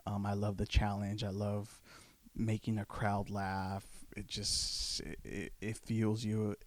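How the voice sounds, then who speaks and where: Laid-back, profane low-voiced man. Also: completely flat delivery, slow, dry recording. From Southern California. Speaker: guest comedian. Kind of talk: casual chat.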